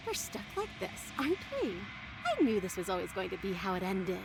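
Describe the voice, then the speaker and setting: A woman's voice: mid-range voice, very animated, brisk, creaky, background noise. A woman voicing a bitter, distrustful captive princess in a horror game, with brooding, theatrical delivery. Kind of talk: video game voice acting.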